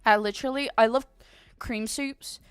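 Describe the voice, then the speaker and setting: A woman's voice: on the high side, steady tone, moderate pace, smooth, no echo, clean studio sound. Bubbly young woman chatting on stream. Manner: bubbly and chatty, many "like"s and giggles. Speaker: VTuber streamer. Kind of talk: casual live-stream chat with viewers.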